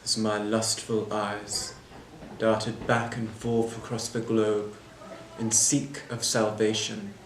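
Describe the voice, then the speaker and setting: A bitter, disillusioned manager, acted by a man delivers a solemn dramatic monologue. He speaks very slowly and deliberately, breaking thoughts into short phrases. The room echoes around him, with noticeable background noise. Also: on the low side, flat delivery. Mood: grave conviction. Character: bitter, disillusioned airport manager defending replacing people with machines. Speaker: actor performing a monologue. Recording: roomy echo, clear background noise.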